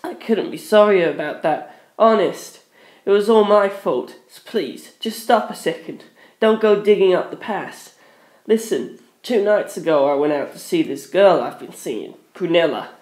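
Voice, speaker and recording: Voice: medium pitch, lively intonation, unhurried, a little mellow, echoey room, natural voice. A narrator voicing a terrified young man who rambles and begs in an audio story. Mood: pleading, apologetic. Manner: shaky, pleading, rambling. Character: terrified, begging young man, self-absorbed.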